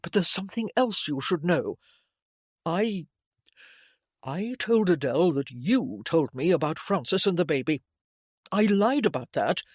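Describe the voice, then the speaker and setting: A narrator voicing an earnest, apologetic young gentleman with a formal, emphatic way of speaking, in an audiobook story. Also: mid-range voice, dry recording, clean studio sound. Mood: remorseful.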